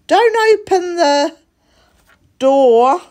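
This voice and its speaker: Woman voicing a lively motherly cat. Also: high voice, drawn-out words, pitched above the performer's own voice, full character voice. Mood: alarmed. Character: patient, mildly doubtful mother cat. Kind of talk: children's storybook character voice.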